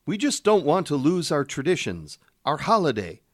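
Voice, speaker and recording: A man's voice: fairly high pitch, big pitch swings, moderate pace, dry recording, clean studio sound. Someone playing an indignant TV pundit man, swooping voice. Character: defensive, indignant conservative TV news pundit. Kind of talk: satirical radio drama acting.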